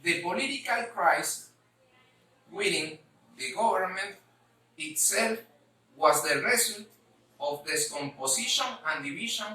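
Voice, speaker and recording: Slow, halting woman reading in echoey room. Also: fairly low pitch, expressive, bright, forceful tone. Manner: halting, repeats words, choppy phrasing. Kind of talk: scripted history presentation read aloud. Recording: echoey room, distant mic.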